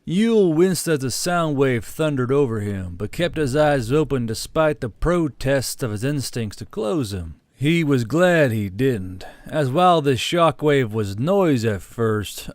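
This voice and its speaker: A man's voice: mid-range voice, animated, a little slow, few pauses, darkish tone, no echo. A man reading a scripted fantasy war story as an audiobook narrator, close on the microphone.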